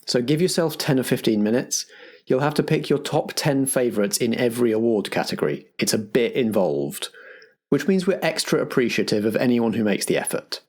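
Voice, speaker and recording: A man with a husky edge to his voice serves as host and game master. He speaks at a middle pitch, presenting announcements and setting scenes for the players. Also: very animated, a little fast, a little mellow. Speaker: host and game master of an improvised roleplay podcast. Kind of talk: scripted announcements and game narration.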